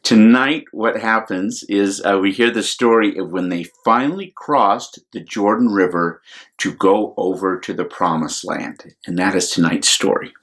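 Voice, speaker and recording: An American man, a priest, telling a Bible story to children in simple words with deliberate repetition for emphasis. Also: lowish voice, a bit bright. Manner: simple, deliberate explanations with repeated phrases for emphasis.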